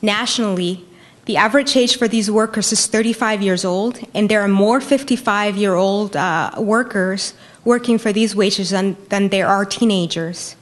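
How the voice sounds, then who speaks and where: Woman from Peru, debater at a lectern, earnest and emphatic, mid-pitched voice. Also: normal speed. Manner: earnest, emphatic, repeating key phrases. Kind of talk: prepared debate speech. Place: university lecture hall.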